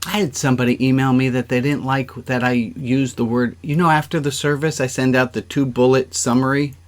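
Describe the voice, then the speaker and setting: A man's voice: mid-range voice, flat delivery, fairly quick. Man rabbi leading an informal online group. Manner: conversational, asks the group questions. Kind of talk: informal interactive group service talk. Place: online video-call gathering.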